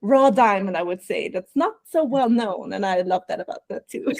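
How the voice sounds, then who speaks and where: A woman's voice: mid-range voice, moderate pace, gravelly, darkish tone, dry recording, clean studio sound. A woman from Austria with an Austrian accent, a burnout prevention coach telling her story in a podcast interview, reflective and laughing often.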